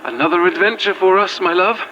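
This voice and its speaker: A woman's voice: fairly low pitch, fairly quick, bright, forceful tone, muffled recording, slight background noise. A woman playing a protective, anxious Victorian wife in a horror audio drama, with formal, old-fashioned phrasing. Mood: calm, affectionate.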